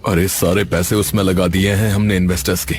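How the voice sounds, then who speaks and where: A man voicing an anxious male scientist in a cartoon story who speaks in a low, worried way. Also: flat delivery, a little fast, a little hiss or hum, close to an everyday voice.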